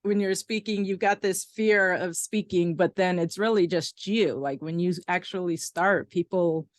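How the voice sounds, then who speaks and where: A woman with a somewhat low voice hosts a relaxed interview, recorded close with no room sound. She talks in a chatty, encouraging way and laughs easily. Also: a little slow, dry recording. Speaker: podcast host. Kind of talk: casual interview conversation.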